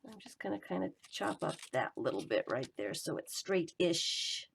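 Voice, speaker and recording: A woman crafting and talking to herself as she works, her voice going hoarse. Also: deep voice, sing-song, moderate pace, a little hiss or hum. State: voice giving out, hoarse. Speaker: collage journaling vlogger. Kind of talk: casual running commentary while crafting.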